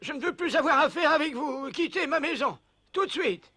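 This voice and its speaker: A man's voice: very high voice, moderate pace, bright, forceful tone, dry recording. A man playing a proud, suspicious old man in a French cartoon dub, indignant and repeating himself. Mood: angry accusing. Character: grumpy, proud, suspicious old woodcarver father.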